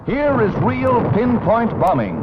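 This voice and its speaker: A man's voice: extremely high pitch, very animated, slow pace, very noisy. An American man reading scripted 1940s newsreel narration in a dramatic, emphatic, declamatory manner. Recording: dull vintage 1940s film soundtrack, loud music.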